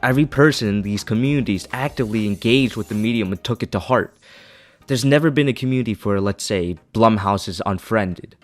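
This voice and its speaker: A man's voice: lowish voice, brisk, faint music behind. A man reading a scripted video essay as its narrator, with an opinionated, critical manner.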